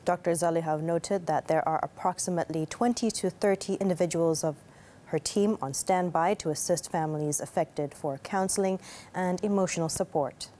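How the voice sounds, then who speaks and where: A woman's voice: on the low side, moderate pace, mellow, slight background noise. A woman reading scripted news as an anchor.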